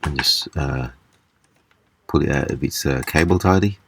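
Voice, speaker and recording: A man giving a casual, hands-on repair demonstration, explaining haltingly step by step. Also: a bass voice, creaky, fairly bright tone, husky. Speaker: repair video presenter. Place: small indoor room at a table.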